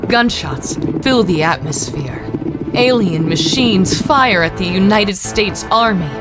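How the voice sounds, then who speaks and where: A woman narrating superhero action in the third person for an audio drama, measured and dramatic. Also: mid-range voice, animated, loud music behind the voice. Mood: tense storytelling.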